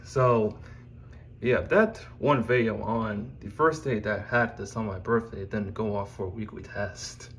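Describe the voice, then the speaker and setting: Slow, halting low-voiced man, noisy room. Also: a bit bright. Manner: halting, meandering delivery in short fragments. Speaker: YouTuber presenting own collection. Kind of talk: informal unscripted video showcase. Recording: clear background noise and room ambience.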